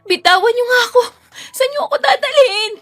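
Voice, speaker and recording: A woman's voice: very high voice, a little fast, a bit bright, faint music behind, pitched above the performer's own voice. A woman voicing a heartbroken, desperate young woman in an audio romance, crying out emotionally. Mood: panicked screaming.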